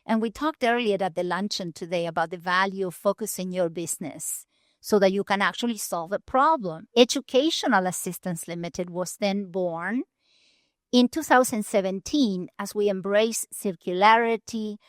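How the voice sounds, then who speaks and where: A woman from Argentina, a nonprofit leader telling her story in an earnest, measured way with deliberate pauses. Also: medium pitch, no echo, clean studio sound. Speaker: guest, nonprofit CEO. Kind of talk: storytelling interview answers. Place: conference venue.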